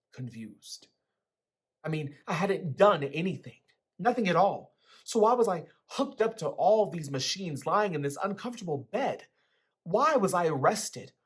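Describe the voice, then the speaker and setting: A man giving a dramatic first-person reading from a book, with a husky voice. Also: a little high.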